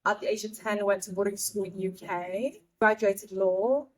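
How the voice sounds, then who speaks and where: A woman in her thirties from Vietnam hosts an easygoing podcast conversation. She talks in a lively, playful way and is quick to jump in. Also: mid-range voice. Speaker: podcast host. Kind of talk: casual chat. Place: outdoors in Bali.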